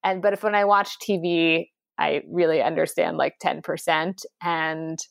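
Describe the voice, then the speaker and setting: A woman speaking in an animated, conversational way, full of "like" and "um," as she explains how she built her cooking classes. The sound is dry and close, with no room echo at all. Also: sits low, a little slow, creaky. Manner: animated, lively storytelling with many fillers. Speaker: founder of a cooking school, guest. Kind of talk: conversational interview.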